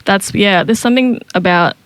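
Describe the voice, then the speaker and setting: A woman's voice: medium pitch, moderate pace, no echo. A woman from Malaysia, a photographer, speaking earnestly in a casual interview, with frequent fillers. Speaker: photographer and curator.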